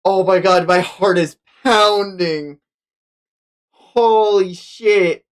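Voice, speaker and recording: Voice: medium pitch, drawn-out words, clear, resonant tone. A streamer doing a live manga read-along, reacting in an unguarded, sweary way. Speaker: streamer reading manga aloud.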